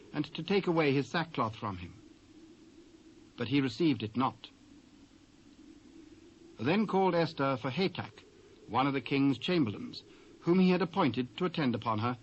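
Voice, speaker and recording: A British man narrating scripture in a solemn, measured, dramatised style. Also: fairly high pitch. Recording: dull old cassette recording.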